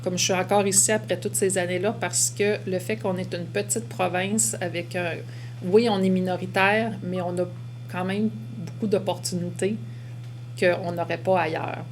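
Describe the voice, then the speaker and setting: Flat-toned Québécois woman, middle-aged. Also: fairly low pitch, moderate pace, a little hiss or hum. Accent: Québécois French. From Québec. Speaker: education worker sharing her testimony. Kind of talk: reflective testimonial.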